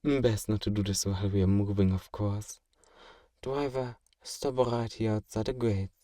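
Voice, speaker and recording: A genteel, possessive male vampire, played by a man in an ASMR roleplay. His voice is fairly low, dark and relaxed, and he coaxes and soothes with doting politeness. The sound is very dry and intimate, with no room echo. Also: unhurried, natural voice. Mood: soft, attentive.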